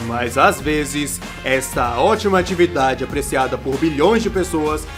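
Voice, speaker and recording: Dull web-video narrator put on by a comedy dubber, Brazilian accent, deepened voice, ponderous, flat, long-winded. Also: measured pace, music in the background, lightly acted. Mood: solemn, droning. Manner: ponderous, flat, padded delivery.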